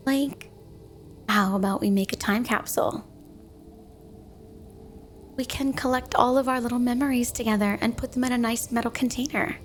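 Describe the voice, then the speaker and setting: Woman acting a high-voiced, clingy snow-woman girlfriend. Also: lightly acted. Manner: sugary, coy, affectionate, unhurried. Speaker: ASMR roleplay performer. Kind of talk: ASMR romantic roleplay, addressed to the listener.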